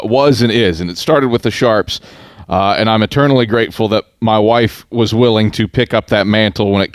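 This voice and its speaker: A man hosting a casual conversational podcast interview, thinking aloud in measured, halting phrases. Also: on the low side, no echo, studio quality.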